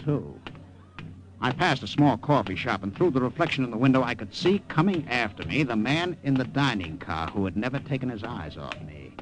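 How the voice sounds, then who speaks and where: A man voicing a nervous secret agent, stammering, lively voice, 1950s radio play, music behind. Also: on the low side, natural voice. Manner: stammers and fumbles in scenes, narrates in a steady tone. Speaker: narrator and lead actor in a radio drama. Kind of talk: 1950s radio drama narration.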